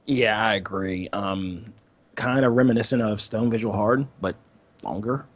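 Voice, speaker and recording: A man co-hosting a casual gaming podcast, speaking informally in halting phrases with dry understatement. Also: on the low side, highs cut off. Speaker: podcast co-host.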